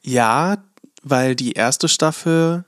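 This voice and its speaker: A man's voice: medium pitch, drawn-out words, a bit bright, no echo. Rambling man on a dry mic. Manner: rambling, many fillers and hedges. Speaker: podcast co-host. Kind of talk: casual chat.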